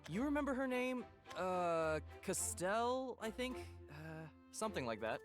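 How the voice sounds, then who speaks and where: A relaxed, friendly student of magic in an anime game. The voice sits at medium pitch with lively inflection, and music is clearly heard behind it. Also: measured pace. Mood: casual. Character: laid-back, friendly student at a magic academy. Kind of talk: anime-style game voice acting.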